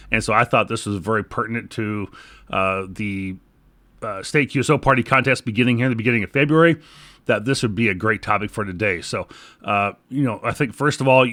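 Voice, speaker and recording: A man's voice: mid-range voice, fairly bright tone, no room sound. A man hosting a solo podcast, talking in an informal, rambling, conversational way. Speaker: podcast host. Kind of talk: casual solo podcast talk.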